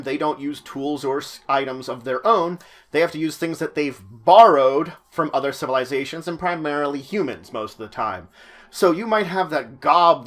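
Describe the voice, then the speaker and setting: A man narrating a conversational explainer as a host, with theatrical emphasis, dramatic pauses and chatty asides. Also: a little high, a bit bright. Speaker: host of tabletop roleplaying guide videos.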